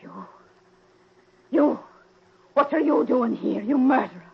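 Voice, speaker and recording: A woman's voice: high-pitched, unhurried, vocal fry, slightly rough, full character voice. An actress playing a grieving, accusing mother in an emotional 1940s radio drama style. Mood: bitter, accusing. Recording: muffled old-time radio recording.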